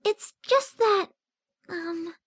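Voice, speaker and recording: Timid, squeaky-high little pegasus pony. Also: female voice, lively intonation, exaggerated voice. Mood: hesitant, shy. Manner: hesitant, halting, mumbling. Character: timid, soft-spoken female pegasus pony, shy and gentle. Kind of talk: scripted story dramatisation.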